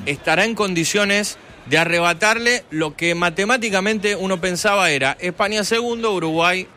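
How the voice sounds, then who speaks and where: A man giving live football commentary on the radio, animated and building emphasis, stretching his words when he calls a goal. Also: sits high, normal speed, slight background noise.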